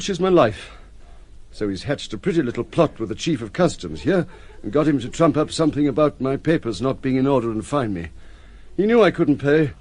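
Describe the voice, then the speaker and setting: An actor playing a desperate, indignant and naively earnest sea captain in an audio drama, agitated and halting. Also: male voice, mid-range voice, darkish tone, close to an everyday voice.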